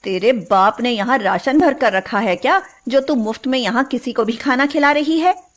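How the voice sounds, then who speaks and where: Cruel cartoon mother-in-law, acted by a woman: high voice, bossy, nagging, sarcastic. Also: natural voice. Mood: sneering, bossy. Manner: nagging, sarcastic, drawn-out calls. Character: cruel, scolding, domineering Indian mother-in-law. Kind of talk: cartoon moral-story voice acting.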